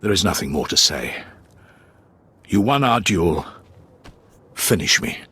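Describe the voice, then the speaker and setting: An actor playing a grave, honor-bound Sith warrior in a video game, with a rough voice and solemn, formal delivery. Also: male voice, big pitch swings, measured pace, pushed and bright, exaggerated voice. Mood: grim, proud. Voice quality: deep, rough, husky. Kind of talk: video game dialogue acting.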